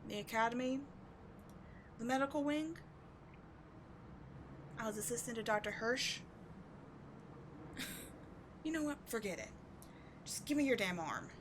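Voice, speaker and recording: Bitter, high-voiced villainess played by a woman. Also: lively intonation, a little slow, long pauses. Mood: irritated, scornful. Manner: sardonic, exasperated, emotionally intense. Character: bitter, wounded female villain secretly caring for rival sidekick. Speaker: voice actor in audio roleplay. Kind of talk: dramatic ASMR audio roleplay. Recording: clearly audible background noise.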